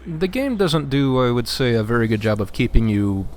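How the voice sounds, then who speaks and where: A man's voice: medium pitch, sing-song, moderate pace. A man giving casual, conversational game commentary as a co-commentator, with hesitant, reflective phrasing.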